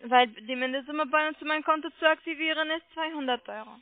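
Woman, high voice, telephone sales caller, persistent, polite, dry narrow phone sound. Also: fast talker, no room sound. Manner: persistent, polite, coaxing with check-back questions. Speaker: telemarketing caller selling online trading. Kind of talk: sales pitch over the phone. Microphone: telephone call. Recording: muffled phone line.

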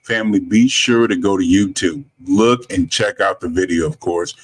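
A middle-aged man hosting a music interview in a deliberate, complimentary way. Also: deep voice, husky, poor audio. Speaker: music podcast host. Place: small indoor room, video call. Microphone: studio mic up close, headset.

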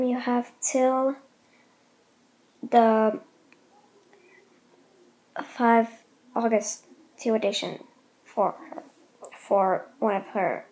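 A woman's voice: fairly high pitch, gravelly, a little mellow, poor audio. A young woman making a casual announcement to viewers in a hesitant, rambling way, often repeating herself. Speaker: host of a fan voice-acting channel.

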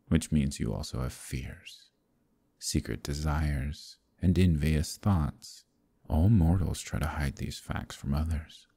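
Demon king villain, ASMR roleplay, dark gravelly tone, smug and menacing, whispery. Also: rumbling low, exaggerated voice. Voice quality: gravelly, husky, often whispered. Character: scheming, arrogant male Demon King villain. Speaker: voice actor.

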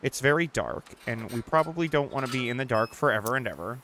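Young man, casual gameplay commentary, chatty, swears a lot, mid-pitched voice, faint game ambience behind. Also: animated, quick pace, a little hiss or hum. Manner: chatty, reacts loudly, swears. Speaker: gaming channel host. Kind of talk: casual let's-play commentary.

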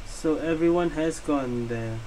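A man giving casual gameplay commentary, thinking aloud in a halting way. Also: fairly high pitch, heavy background noise. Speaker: gamer, video creator. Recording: loud game music behind.

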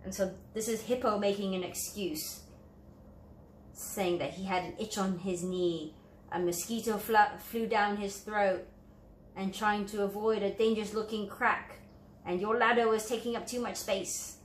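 A woman's voice: mid-range voice, steady tone, measured pace. Woman reading to children in echoey room. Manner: simple, explanatory phrasing for young children. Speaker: children's storybook reader. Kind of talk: read-aloud commentary on picture book. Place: indoor room, at a table. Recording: roomy echo, light background noise.

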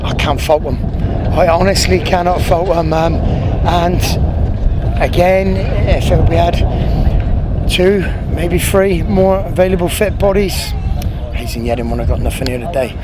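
Frank English football manager outdoors. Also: male voice, high voice. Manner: frank, repeats himself, many restarts. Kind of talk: post-match interview. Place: outdoor football stadium pitchside. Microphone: handheld interviewer mic, medium framing. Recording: outdoor noise and passing traffic.

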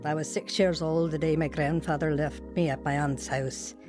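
A woman's voice: sits low, steady tone, normal speed, music in the background, lightly acted. An actress playing an earnest, wistful woman telling of her lonely youth in a radio drama. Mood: wistful, reflective. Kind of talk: first-person radio drama narration and dialogue.

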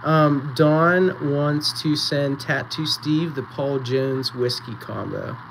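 Slow-talking man running a live auction. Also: fairly high pitch, creaky, noisy background. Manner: slow, relaxed, chatty, counting down bids. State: voice going raspy. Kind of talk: live-stream auction chat. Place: small indoor photo booth setup.

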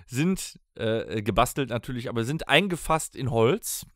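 A man's voice: mid-range voice, animated, measured pace, fairly bright tone, no echo. Man telling casual podcast stories, dry sound. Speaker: podcast co-host. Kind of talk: casual podcast banter and storytelling.

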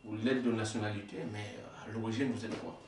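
A man's voice: on the low side, level tone, a little fast, crowd noise. A man in his forties preaching in a small indoor worship room, reading passages aloud and explaining them with rhetorical questions, sometimes stumbling and correcting himself. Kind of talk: sermon reading and explaining texts. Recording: echoey room, voice from a distance.

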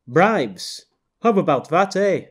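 A man voicing a blunt middle-aged Canadian man with a Canadian accent, talking in a loud, folksy, brash way. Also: high-pitched, sing-song, slow pace, pitched above the performer's own voice, exaggerated voice. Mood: scoffing.

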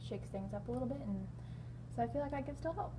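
A woman's voice: on the high side, animated, quick pace. Young woman guest, mic a bit distant. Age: young adult. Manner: friendly, explanatory, laughs easily. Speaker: wellness director, guest. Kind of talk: casual interview answers. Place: large indoor hall. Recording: distant mic with background noise.

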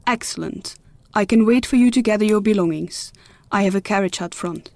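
A woman's voice: medium pitch, a little fast, darkish tone, close to an everyday voice. An actress playing a gracious, self-assured noblewoman in a fantasy audio drama, courteous and frank. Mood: amused, kind. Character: gracious, self-assured highborn lady seeking a ward.

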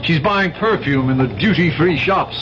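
Actor as a whiny snob of a man, high and animated, old radio play, muffled with stuff loud behind. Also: moderate pace, over music, close to an everyday voice. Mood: peevish. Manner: indignant, clipped, haughty. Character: fussy, petulant, snobbish brother. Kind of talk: 1970s radio drama acting. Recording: dull vintage radio with loud background.

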